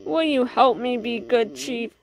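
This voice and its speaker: A woman's voice: on the high side, a little slow, vocal fry, voice pushed down. A woman voicing an earnest, repentant policeman in a storybook, eager to do better. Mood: earnest, resolute.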